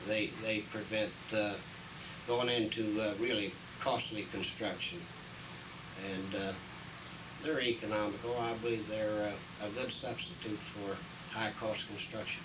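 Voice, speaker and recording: Slow, halting low-voiced man, distant noisy mic. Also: level tone. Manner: halting, hesitant, pausing often mid-phrase. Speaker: city public works official being interviewed. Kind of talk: unscripted explanatory interview answers. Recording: distant mic, noisy 1980s video soundtrack.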